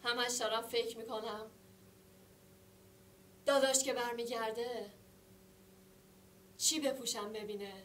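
An actress playing a lonely young woman waiting for her brother, speaking in a theatrical monologue of short, halting phrases. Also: on the high side, fairly even intonation, fairly bright tone, slight background noise, close to an everyday voice. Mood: hushed, wistful. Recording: roomy sound from a distant mic.

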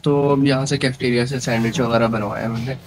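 A man in his thirties, a motorcycle vlogger, chatting in a relaxed way while riding on a highway and mixing English words into his talk. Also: fast talker. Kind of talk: casual travel narration while riding. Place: on a motorcycle on a desert highway, outdoors. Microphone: helmet or action-camera mic. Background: faint wind and engine noise.

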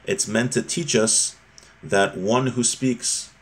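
A man's voice: mid-range voice, steady tone, takes it slowly, poor audio. A man, around his thirties or forties, giving an informal religious lesson, reading and explaining, often rephrasing his points. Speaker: religious teacher. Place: small home room, indoors.

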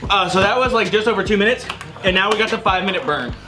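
A man's voice: high-pitched, fast. A young man in his twenties hosting a spicy food challenge, casual and slangy. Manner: excitable, slangy, running the challenge timer. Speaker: channel host. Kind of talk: casual chat. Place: indoor studio set with lights. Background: music.

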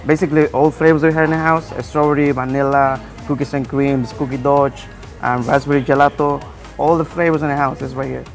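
A man, a chef, loudly and enthusiastically listing what goes into a dessert. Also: mid-range voice, flat delivery, fairly quick, gravelly, faint music behind. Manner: loud and enthusiastic, listing items. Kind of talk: describing a dessert. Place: restaurant table indoors.

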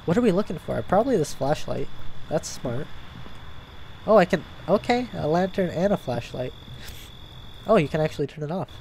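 A young man with a stuffy nose, chatting and reacting out loud to scares as he plays a horror game at home. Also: fairly high pitch, animated, moderate pace, vocal fry, pauses often, slight background noise, low-quality recording. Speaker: gaming YouTuber. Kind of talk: horror game let's play commentary. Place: home gaming room, indoors.

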